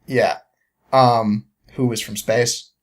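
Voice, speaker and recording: A man telling a story casually as a podcast guest, halting and rambling as he goes, with an amused manner. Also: mid-range voice, steady tone.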